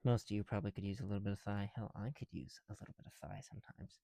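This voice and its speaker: Casting: male ASMR creator, relaxed dark tone, casual muttered chat, very dry close sound. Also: fairly low pitch, very fast, creaky, dry recording. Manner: softly muttering, drops into whispered counting; casual, mildly profane. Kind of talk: ASMR, casual chat while building with bricks.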